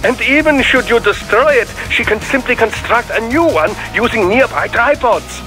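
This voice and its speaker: A fussy scientist in a video game, his voice high and bright. He lectures with precise, formal fascination and animated swoops in pitch. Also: moderate pace, soft background music, heavily acted, low-quality recording. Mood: fascinated, admiring. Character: eccentric, fussy male scientist, fascinated and analytical. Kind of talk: video game radio dialogue acting.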